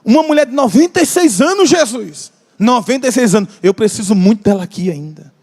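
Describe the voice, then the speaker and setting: A man's voice: very high voice, lively intonation, darkish tone. A man preaching a sermon with a Brazilian Portuguese accent, impassioned, stretching out key phrases and joking with the congregation. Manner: impassioned, drawn-out emphatic phrases, jokes with the congregation. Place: large church hall. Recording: long church hall reverb.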